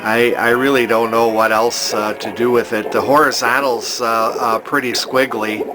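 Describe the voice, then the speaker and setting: An older man giving a casual, unscripted hobbyist demonstration, talking in a folksy, halting way as he thinks aloud. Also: mid-range voice, flat delivery, music in the background. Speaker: hobbyist demonstrating a vintage television he repairs.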